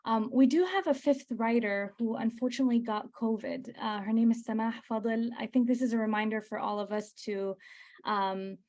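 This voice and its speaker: A woman moderates an online panel over a video call and hands the floor to each speaker. Her voice is fairly high with a mellow, dark tone. Her delivery is friendly and measured.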